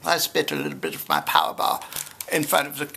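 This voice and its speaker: Pompous German man with a gravelly, creaky voice and indignant, stiff English, as a woman puts him on for a comedy sketch. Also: deep voice, sing-song, a little fast, bright, forceful tone, slight background noise, full character voice. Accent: put-on German. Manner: indignant, haughty complaining in broken English.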